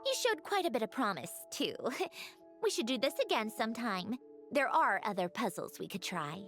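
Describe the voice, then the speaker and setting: An actress playing a proud, clever young female automaton in a video game, composed, self-assured and expressive. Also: fairly high pitch, normal speed, soft background music. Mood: amused, encouraging. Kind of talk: scripted video game dialogue.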